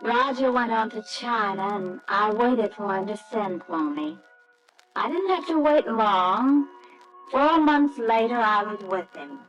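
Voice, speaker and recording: An actress playing an earnest, anxious young American wife in an old radio drama, hesitant and tender. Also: female voice, fairly high pitch, expressive, measured pace, fairly bright tone, highs cut off, faint music behind. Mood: wistful, reflective. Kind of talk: old-time radio drama acting with narration. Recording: faintly noisy old radio recording.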